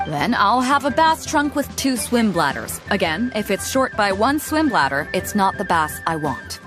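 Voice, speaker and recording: A woman's voice: mid-range voice, very animated, normal speed, close to an everyday voice. A woman voicing a bold, brash pirate captain. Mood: confident. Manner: brash, teasing, commanding. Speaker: fleet captain. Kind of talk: video game cutscene dialogue. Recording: game audio with background music.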